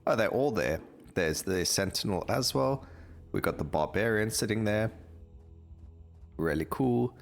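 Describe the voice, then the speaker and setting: A man's voice: on the low side, animated, a little slow, vocal fry, pauses often. A man giving casual gameplay commentary as a video creator, talking over game audio. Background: game music.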